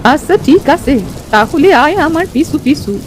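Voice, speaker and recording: High-pitched old village granny. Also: female voice, animated, a little slow. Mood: coaxing, plaintive. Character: old village granny, eerie yet pleading. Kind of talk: Bengali cartoon story voice acting. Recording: noisy, with rain ambience.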